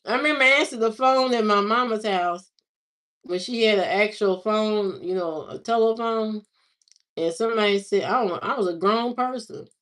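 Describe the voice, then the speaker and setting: A woman hosting a livestream, chatting casually with viewers in a slow, drawling, musing way. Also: medium pitch, a bit bright. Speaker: livestream host. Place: lives in Indiana, not from there.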